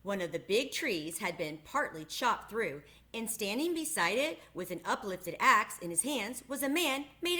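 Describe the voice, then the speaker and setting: A woman narrates a children's book aloud in an expressive storytelling manner. Her voice is bright and clear. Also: on the high side. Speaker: reader.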